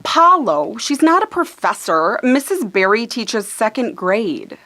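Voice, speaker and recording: A high-pitched, no-nonsense girl of nine acted by a woman in a radio play. She is skeptical and exasperated, matter-of-fact about a hard world and impatient with fairy tales. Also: big pitch swings, measured pace, bright, forceful tone, full character voice. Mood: exasperated, worried. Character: skeptical, exasperated, practical nine-year-old sister. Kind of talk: live radio theater script reading.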